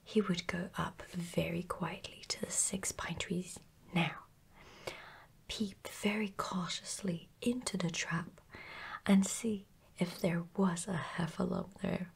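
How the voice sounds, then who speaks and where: A woman reading a children's bedtime story in a hushed, gentle, unhurried ASMR whisper. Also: fairly low pitch, fairly even intonation, gravelly, breathy edge.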